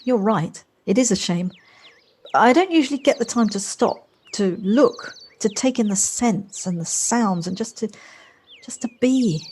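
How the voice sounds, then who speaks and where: A woman's voice: medium pitch, animated, measured pace, a little mellow, lightly acted. A woman playing a polite, effusive, wistful character in an amateur audio drama. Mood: dreamy, wistful. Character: Josie, wistful, polite, effusive woman rediscovering childlike freedom. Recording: faint noise, home-recorded on personal device.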